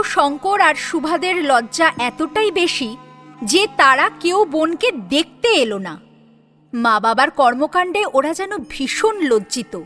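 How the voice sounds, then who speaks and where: A woman's voice: sits high, a bit bright. A woman reading a serial story as its narrator in a dramatic storytelling style, with music behind her. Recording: music under the voice. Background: sitar instrumental music.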